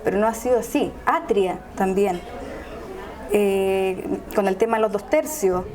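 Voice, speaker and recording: A woman's voice: medium pitch, a little slow, darkish tone. Woman interviewer over crowd murmur. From Chile. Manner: probing questions. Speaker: journalist interviewer. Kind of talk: interview conversation. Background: crowd noise.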